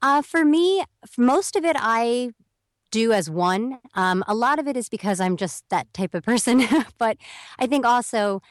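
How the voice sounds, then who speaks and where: A woman's voice: a little high, expressive, moderate pace, no echo, clean studio sound. A young woman speaking as a guest on an interview podcast, explaining at length in a chatty way and acting out quoted messages. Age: young adult. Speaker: voiceover talent guest.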